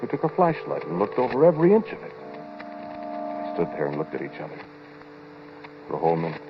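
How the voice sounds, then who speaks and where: An American actor playing a shaken, brooding inventor who tells his story hesitantly and deliberately in 1940s radio drama style. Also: medium pitch, huge pitch swings, creaky. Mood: brooding, uneasy. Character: shaken, brooding male inventor recounting his time-machine experiment. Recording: muffled, noisy 1940s radio recording.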